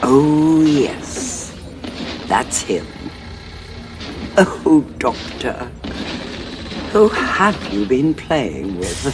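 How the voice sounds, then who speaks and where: A cool, scheming Time Lord leader, slow and knowingly sly. Her voice is very low for a woman, with an expressive, rolling range. Music plays behind her. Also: heavily acted. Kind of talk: audio drama acting.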